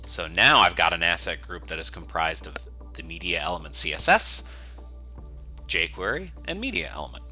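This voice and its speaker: A man's voice: sits low, sing-song, moderate pace, sharp tone, soft background music. A man giving a coding tutorial, explaining each step casually and methodically in an unscripted screencast style. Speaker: software developer giving a tutorial.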